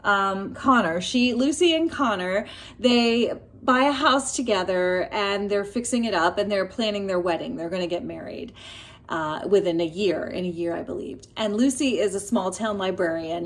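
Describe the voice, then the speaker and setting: A woman talking casually and expressively about books and telling personal stories, often pausing mid-thought as a vlogger does. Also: mid-range voice, fairly bright tone. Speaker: book reviewer vlogger. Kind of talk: casual chatty book review and personal storytelling.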